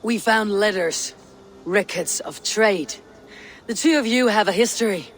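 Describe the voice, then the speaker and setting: A woman's voice: medium pitch, measured pace, a little hiss or hum, close to an everyday voice. Woman acting as a blunt, fearless Viking warrior woman. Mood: firm, impatient. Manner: curt, commanding. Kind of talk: video game cutscene acting.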